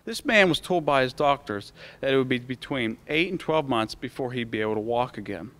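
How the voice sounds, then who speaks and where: A man's voice: medium pitch, expressive, fairly quick, fairly bright tone. A man in his late teens with an American accent, delivering a prepared commencement speech as a student speaker into a podium microphone. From United States. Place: school auditorium stage.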